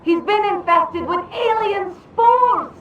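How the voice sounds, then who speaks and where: An actress playing a passionate, frantic Soviet spy in a video game, with a Russian accent. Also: female voice, very high-pitched, takes it slowly, fairly bright tone, a little hiss or hum, full character voice. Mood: exasperated. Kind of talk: video game cutscene acting. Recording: echoey, distant, muffled game audio.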